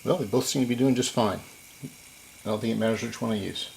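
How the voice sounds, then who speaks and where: A man's voice: fairly low pitch, fast talker, vocal fry, darkish tone, slight background noise, rough recording. A man giving a casual hands-on repair narration, explaining and thinking aloud as he works. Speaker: repair video host.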